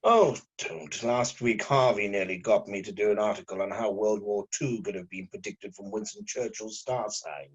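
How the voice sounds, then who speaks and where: A man's voice: fairly low pitch, normal speed, hardly any pauses, husky, muffled recording. A man reading a weary, bitterly sarcastic journalist in an amateur script read. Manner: exasperated, sarcastic.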